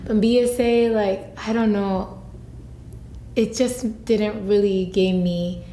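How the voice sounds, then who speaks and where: A woman's voice: mid-range voice, steady tone, slow, dark tone, echoey room, a little hiss or hum, rough recording. A young woman from Japan with a Japanese accent, answering interview questions frankly with lots of "like" fillers. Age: young adult. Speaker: student interviewee.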